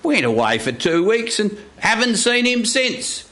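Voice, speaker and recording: Fussy high-voiced male boss, acted out. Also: sing-song, measured pace, sharp tone, heavily acted. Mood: brisk, curious. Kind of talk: live radio theatre.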